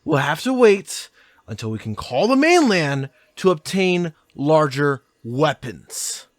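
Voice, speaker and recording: A man playing a grim, practical game warden in an audio drama, blunt and deliberate. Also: on the high side, sing-song, dry recording, clean studio sound. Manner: measured, deliberate.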